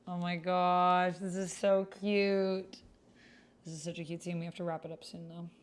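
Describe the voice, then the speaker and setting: A woman's voice: on the low side, flat delivery, a little slow. A woman streamer chatting casually and reading out her typed roleplay in a gushing, sentimental manner. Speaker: streamer playing a text-based roleplaying game. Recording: clear background noise, keyboard typing. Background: computer keyboard typing.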